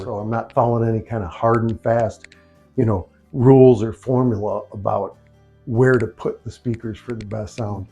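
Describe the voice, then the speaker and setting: An American man talks informally at a middle pitch, as if chatting with a friend. He rambles through his points unscripted, drifting into asides like a hobbyist hosting his own vlog. Faint music plays behind his voice. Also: a little mellow. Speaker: video host, DIY speaker builder. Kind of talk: casual, unscripted vlog talk. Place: small home office used as a studio.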